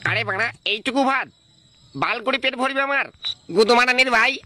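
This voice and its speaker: A man's voice: extremely high pitch, fairly quick, a little hiss or hum, exaggerated voice. A man voicing an insolent, grumbling, foul-mouthed fellow with a Bengali accent in a comic cartoon parody dub. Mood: defiant, grumbling. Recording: loud music over voice.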